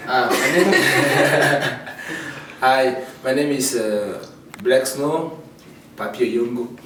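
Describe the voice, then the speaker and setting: A young man from Cameroon, laid-back and giggly, speaking at an ordinary pitch. He is far from the mic in a very echoey room. Background noise is plainly heard. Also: extremely slow.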